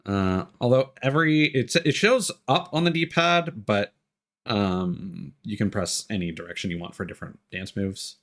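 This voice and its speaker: A man giving casual live gameplay commentary as a streamer, explaining things haltingly and thinking aloud. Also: fairly low pitch, a bit bright. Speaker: game streamer. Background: game music.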